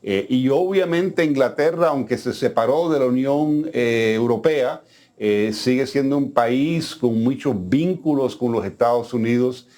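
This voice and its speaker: A man's voice: mid-range voice, a little slow, warm tone. A man hosting a business news show, talking conversationally with frequent fillers and restarts.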